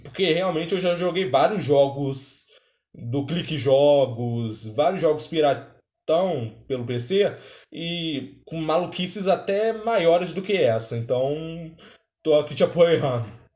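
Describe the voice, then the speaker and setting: Brazilian male reactor, slightly high voice, echoey room, off-the-cuff and halting. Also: animated, a little mellow. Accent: Brazilian Portuguese. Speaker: reaction video host. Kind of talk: casual spontaneous commentary. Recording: roomy, echoing voice recording.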